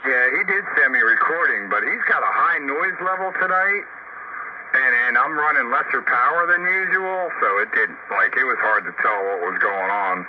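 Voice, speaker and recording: A man's voice: medium pitch, expressive, normal speed. An older man in casual, reminiscing conversation, talking over a two-way radio as one of a group of operators. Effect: radio transmission. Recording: dull noisy two-way radio transmission.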